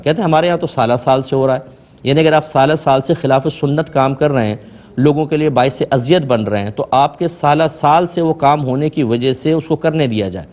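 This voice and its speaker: A man's voice: on the high side, a little mellow. Man giving admonishing Islamic religious guidance. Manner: explanatory, admonishing. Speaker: Islamic scholar answering religious questions. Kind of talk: religious advice talk.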